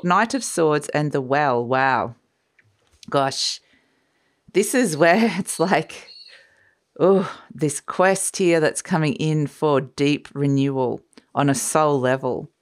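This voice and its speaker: A woman giving a casual, musing tarot reading, her voice a little croaky from a cold, often trailing off and restarting. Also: sits low. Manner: warm, musing, trails off and restarts, light laughs. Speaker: tarot reader. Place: sunny room by a window, indoors.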